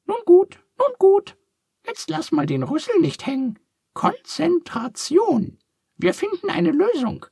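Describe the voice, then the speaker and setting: Guy doing a super squeaky bossy duck lady, quacky and fussy, storybook voice. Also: huge pitch swings, takes it slowly, gravelly, warm tone, no echo, studio quality, heavily acted.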